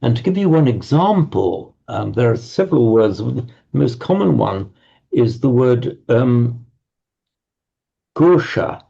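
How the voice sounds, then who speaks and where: Guy teaching a class over a call, gravelly dark voice, kinda muffled lo-fi sound. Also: mid-range voice, sing-song. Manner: halting, stammering repeats, patient. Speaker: Sanskrit teacher. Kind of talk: online class, correcting pronunciation.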